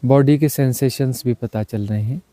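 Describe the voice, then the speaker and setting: A man leading a guided meditation as a teacher, speaking in unhurried, short, repeated phrases and mixing in some English words. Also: mid-range voice, mellow, no room sound. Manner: mixes English words into Hindi.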